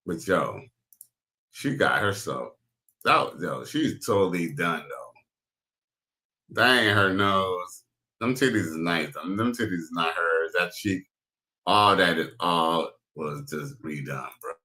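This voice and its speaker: A man with a very deep baritone giving loud, crude, slangy reaction commentary as host. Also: lively intonation, slow.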